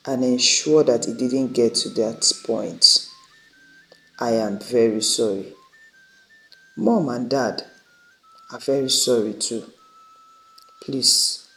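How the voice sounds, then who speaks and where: A man voicing a remorseful, caring eldest brother in a story, earnest and apologetic in a measured way. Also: medium pitch, fairly bright tone, poor audio.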